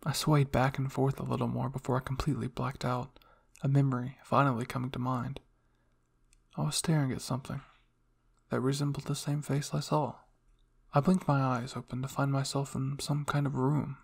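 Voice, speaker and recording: A male narrator reads a first-person roleplay story. His voice is raspy with heavy fry, and his intonation stays fairly flat. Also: mid-range voice, normal speed. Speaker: fan fiction narrator.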